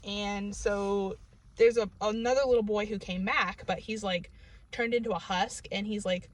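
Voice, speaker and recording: New england lady chatting bout a book in her car, lots of likes and ums, mid-pitched voice. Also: fairly even intonation, moderate pace, rough recording. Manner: rambling, filler-heavy, many "like" and "um". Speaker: book reviewer. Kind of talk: casual book review vlog. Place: inside a parked car. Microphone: camera in the car.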